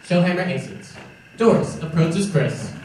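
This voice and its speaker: A man's voice: on the high side, lively intonation, measured pace, darkish tone. A man announcing stage directions as the narrator of a radio-style drama. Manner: announcing, declarative. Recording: echoey hall from far off, clear noise.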